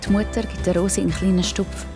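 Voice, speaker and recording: Swiss lady telling a kids story, normal pitch, some music under it. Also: steady tone, fast talker. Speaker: narrator. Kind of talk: scripted children's story narration.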